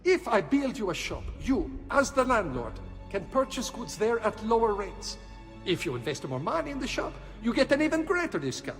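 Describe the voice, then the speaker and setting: A man's voice: high-pitched, sing-song, normal speed, a bit bright, big-room sound, faint music behind, exaggerated voice. An actor playing a fussy, eager Italian architect who talks like a salesman, with an Italian accent. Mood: eager, persuasive.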